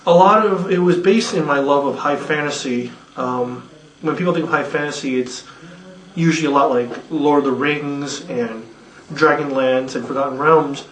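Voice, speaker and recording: A man's voice: mid-range voice, a bit bright. Rambling man in an echoey room. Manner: rambling, self-deprecating, lots of fillers. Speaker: creator of the radio play. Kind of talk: behind-the-scenes casual chat. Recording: echoey room, distant mic.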